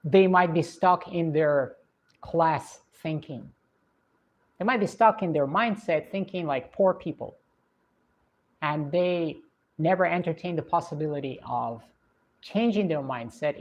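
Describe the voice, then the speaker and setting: A woman from Russia with a Russian accent, hosting a livestream and chatting in a reflective, unhurried way with plenty of fillers. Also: deep voice, a little mellow. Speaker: livestream host. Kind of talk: casual livestream chat reading viewer comments. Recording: dull lo-fi stream audio.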